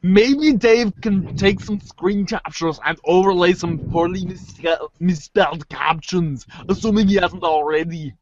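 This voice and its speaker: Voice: mid-range voice, unhurried, hardly any pauses, slight background noise, close to an everyday voice. A performer playing an articulate, sardonic, exasperated girl in amateur readers' theater, wry, wordy and precise. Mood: dry exasperation. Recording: muffled lo-fi audio.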